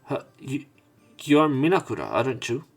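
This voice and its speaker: A man's voice: medium pitch, sing-song, normal speed, faint music behind. A man reading visual novel narration aloud as a let's player, with casual commentary. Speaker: let's play streamer.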